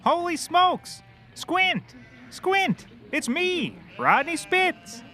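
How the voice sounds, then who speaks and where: A man acts out a bumbling, eager male private eye in an audio comedy. His voice is extremely high for a man, bright and swooping, with an excitable, oblivious earnestness. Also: drawn-out words, soft background music, heavily acted. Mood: excited. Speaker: actor in a scripted comedy series. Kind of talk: scripted audio comedy acting.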